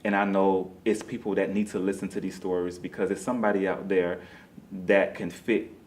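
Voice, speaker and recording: A man's voice: low-pitched, flat delivery, brisk, a little mellow. A man being interviewed as a playwright, talking casually and conversationally, often saying "you know.".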